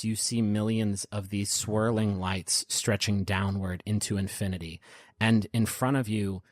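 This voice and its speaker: A man narrating a tabletop game as game master, in gentle, descriptive storytelling. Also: fairly low pitch, dry recording, clean studio sound. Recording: prominent piano music underneath.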